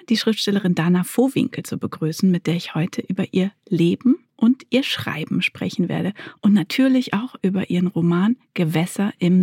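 Podcast host, female, breathy husky voice, scripted interview delivery, dry sound. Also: lowish voice, dark tone, no echo. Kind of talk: prepared introduction and interview questions.

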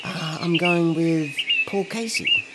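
A man's voice: on the high side, huge pitch swings, slow pace, heavy background noise. Swearing, joking man. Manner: swearing, joking, laughing often. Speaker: podcast co-host. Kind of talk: crude casual banter.

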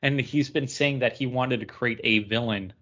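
A man's voice: medium pitch, normal speed, bright, forceful tone. A man talking casually as a co-host on a podcast. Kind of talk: casual chat. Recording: dull lo-fi audio.

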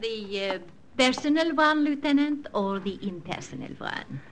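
A woman's voice: high voice, expressive, unhurried, slight background noise. An actress in a 1940s radio drama playing a flirtatious French woman with a French accent, teasing and lilting. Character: coy French woman, teasing spy helper.

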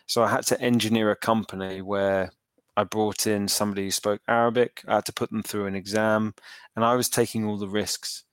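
A man in his thirties answering interview questions in a thoughtful, halting way, full of ums and restarts. His voice sits low and comes through smooth and resonant. The recording is close and dry, with little room sound. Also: level tone, no room sound. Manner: thoughtful, hesitant with many fillers and restarts. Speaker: entrepreneur guest.

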